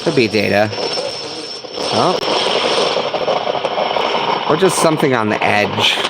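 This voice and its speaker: A man's voice: fairly low pitch, expressive, slow pace, creaky. A man giving casual, slangy live commentary, musing aloud as he scans a shortwave radio. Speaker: livestream host, radio hobbyist. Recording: loud background noise, shortwave radio static. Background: shortwave radio noises.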